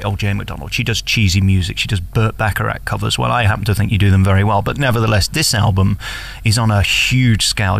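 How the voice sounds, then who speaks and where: Male celebrity interviewer, fairly deep with vocal fry, gushing and emphatic in easy conversation. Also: a bit bright. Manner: effusive, emphatic.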